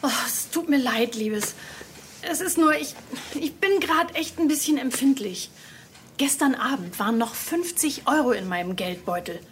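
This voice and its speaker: A worried, loving mother from a children's audio play. Her high voice sounds almost teenage as she frets and reproaches. Faint noise sits behind her. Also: lively intonation, normal speed. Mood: apologetic, upset. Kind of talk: children's audio drama acting.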